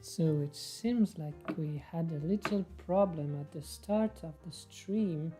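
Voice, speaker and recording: A woman's voice: low-pitched, takes it slowly, dark tone, soft background music. A young woman hosting an online poetry stream informally, hesitant in delivery. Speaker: host of an online poetry reading. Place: small room at home, indoors. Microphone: video call.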